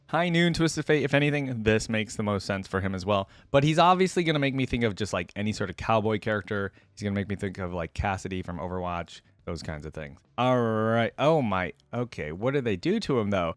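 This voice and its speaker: A man giving casual reaction commentary as a video host, informal and thinking out loud as he goes. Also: lowish voice, dry recording.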